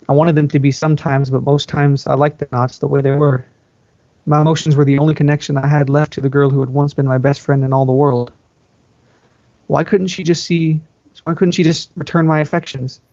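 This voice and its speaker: A man's voice: on the high side, darkish tone, no echo. A man reading a first-person story aloud as an amateur, stumbling over the odd word. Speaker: amateur fan fiction reader. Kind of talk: scripted narration read aloud in first person. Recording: dull lo-fi microphone, dry.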